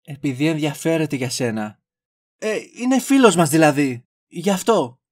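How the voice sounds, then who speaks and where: A protective young man , voiced by a male actor, earnest and exasperated. He grumbles but clearly cares, in the style of an amateur audio drama. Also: fairly high pitch, big pitch swings, darkish tone. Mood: apologetic, worried.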